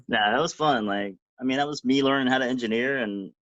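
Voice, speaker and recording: A man's voice: mid-range voice, fairly bright tone, no room sound, muffled recording. A man who worked as the recording engineer, explaining studio technique in casual conversation with lots of fillers. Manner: quick, filler-heavy explaining.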